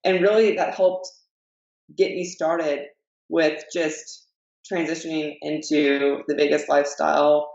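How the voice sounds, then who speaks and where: A woman's voice: low voice, flat delivery, measured pace, creaky, a little mellow. Young woman, upbeat storyteller, echoey room, a bit muffled. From Iowa. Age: 20s. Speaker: guest, fitness coach. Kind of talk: casual chat.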